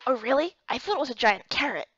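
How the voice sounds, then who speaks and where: A cheeky young wolf boy from a fantasy game, played by a woman, talks in a casual, brusque and sarcastic manner. The voice is impatient and lively, on a dry, slightly muffled recording. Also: high voice, quick pace, slightly rough, no echo, exaggerated voice.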